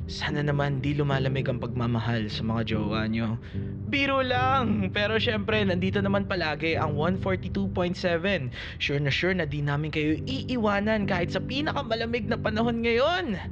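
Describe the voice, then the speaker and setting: A man with a Filipino accent playing a cheerful late-night radio DJ, upbeat and smooth-talking as he signs off. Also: high-pitched, highs cut off. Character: cheerful male late-night radio DJ sending warm greetings. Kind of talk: radio DJ sign-off.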